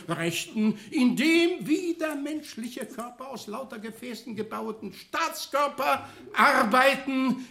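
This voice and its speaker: A sardonic male orator in a radio play, pitched high and swinging theatrically up and down. He declaims in with mocking pomp, savoring each ornate phrase. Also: fairly bright tone, full character voice. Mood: declamatory, mocking. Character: mocking male satirical orator praising gallows and thievery with ironic pomp.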